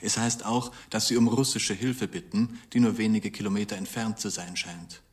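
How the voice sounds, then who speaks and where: An earnest wartime statesman voiced by a man delivers a diplomatic message. His voice is fairly low, and the radio-drama reading is formal and measured. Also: fairly even intonation, natural voice. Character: earnest male wartime statesman dictating an urgent telegram.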